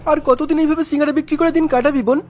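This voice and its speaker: A greedy cartoon swindler man played by a woman talks quickly in a high voice. He is bossy and smug, coaxing like a con man, with music playing underneath. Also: fairly even intonation, darkish tone, natural voice. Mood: coaxing, sly. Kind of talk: cartoon character acting.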